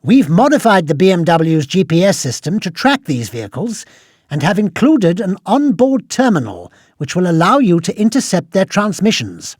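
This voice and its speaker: A precise, formal British spy quartermaster in a video game. His voice is high and lively in pitch. He briefs an agent with pride and dry wit. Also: moderate pace, no echo. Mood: proud, formal.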